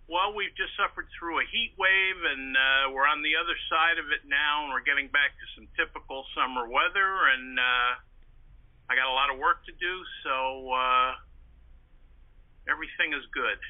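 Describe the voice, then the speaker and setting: A man's voice: on the high side, normal speed. An older man from the Northeastern United States, an interview guest telling anecdotes casually and repeating words as he goes. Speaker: interview guest, writer and historian. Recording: tinny, band-limited phone line.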